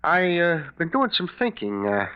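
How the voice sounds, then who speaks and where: A desperate, weak-willed small businessman in an old radio crime drama. He is nervous and halting, his fairly high voice rising and dipping a lot, heard through a muffled vintage recording. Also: wildly swooping pitch, clean tone. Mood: furtive, hesitant. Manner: nervous, stammering, hesitant. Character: anxious, desperate male garage owner, weak-willed and scheming. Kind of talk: 1940s radio mystery acting.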